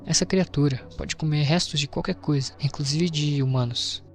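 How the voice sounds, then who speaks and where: A man's voice: fairly high pitch, normal speed. A man with a Brazilian accent narrating a scripted explainer in an informal storytelling way, with casual asides. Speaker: video narrator. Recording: music behind the voice. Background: background music.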